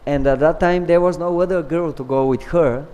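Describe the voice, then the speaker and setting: A man's voice: fairly high pitch, mellow, smooth, muffled recording. Man lecturing in a hall. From Bulgaria. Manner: earnest, storytelling with pauses and restarts. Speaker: scripture class speaker. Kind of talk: devotional scripture lecture. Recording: long reverb of a large hall.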